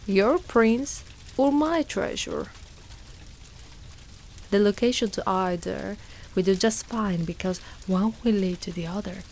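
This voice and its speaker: Slow-speaking, fierce dragon knight played by a woman. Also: medium pitch, darkish tone, a little hiss or hum, poor audio. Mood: menacing, commanding. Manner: theatrical, formal archaic phrasing, menacing and protective. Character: fierce, devoted female dragon knight guarding a princess. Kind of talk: dramatic ASMR roleplay monologue.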